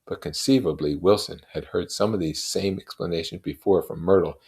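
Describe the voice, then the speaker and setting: Male audiobook-style narrator for a classic novel; low register, creaky edge, expressive phrasing. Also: brisk. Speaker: reader. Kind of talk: expressive literary narration read aloud.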